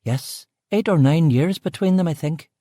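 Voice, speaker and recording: A woman's voice: low-pitched, normal speed, mellow, dry recording, studio quality, voice pushed up. A man voicing a blunt middle-aged woman cook with a West Belfast accent, in clipped local speech, with his voice raised well above his own. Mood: wary.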